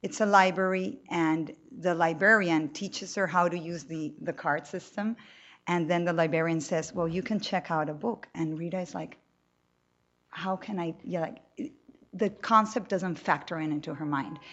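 Animated woman from Colombia. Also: lowish voice, moderate pace, lo-fi sound. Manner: animated, talkative, frequent restarts. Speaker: novelist on a panel. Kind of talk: panel talk to an audience. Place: room with a live audience.

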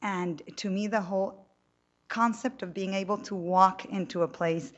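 A woman's voice: medium pitch, moderate pace, dull audio. A woman from Colombia, a novelist talking animatedly on a panel before an audience, often restarting her sentences. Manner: animated, talkative, frequent restarts. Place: room with a live audience.

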